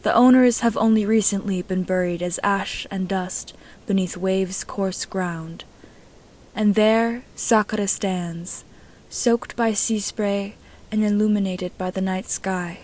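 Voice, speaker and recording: A woman's voice: mid-range voice, fairly even intonation, slow pace, darkish tone. A woman reading a scripted story aloud as an amateur narrator.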